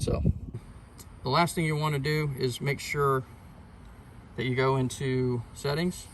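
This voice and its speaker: A man presenting an informal how-to tutorial in a halting, step-by-step way, repeating technical details as he goes. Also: medium pitch, noisy background. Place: at a boat console, in shade on a sunny day.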